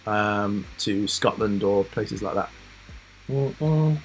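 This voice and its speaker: A man hosting a casual live stream, chatting loosely to his viewers with lots of fillers, rambling asides and soft laughs. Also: on the low side, slow, soft background music, poor audio. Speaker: reaction livestream host.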